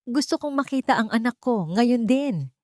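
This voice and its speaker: A woman's voice: on the high side, expressive, normal speed, dry recording, studio quality, voice pushed up, natural voice. A woman voicing a commanding rich father, desperate and indignant, in an audio story. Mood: urgent, demanding. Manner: demanding, forceful.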